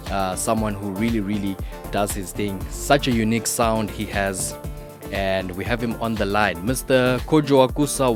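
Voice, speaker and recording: A man hosting a radio show and interviewing a guest, friendly and complimentary, leaning on "you know" a lot. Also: lowish voice.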